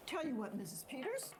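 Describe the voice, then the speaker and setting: A woman's voice: high voice, slight background noise. A woman playing a regretful, plain-spoken country farm wife in a stage drama, with a hesitant, folksy way of talking. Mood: gentle, curious. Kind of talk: stage drama dialogue acting.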